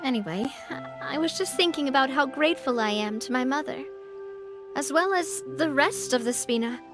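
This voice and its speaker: A woman playing a refined, warm-hearted young leader in a video game, talking in a gentle, earnest, thoughtful way. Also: high voice, animated, normal speed. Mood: fond, reflective. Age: young adult. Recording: background music.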